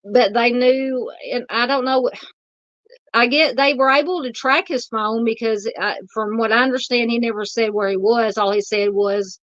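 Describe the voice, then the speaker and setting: Southern woman, livestream host, hoarse and tiring, halting and polite, dry and slightly muffled mic. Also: on the high side, fairly even intonation, fairly bright tone, no room sound. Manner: halting, filler-heavy, polite with viewers. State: losing her voice. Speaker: true crime livestream host. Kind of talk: casual livestream chat reading viewer comments.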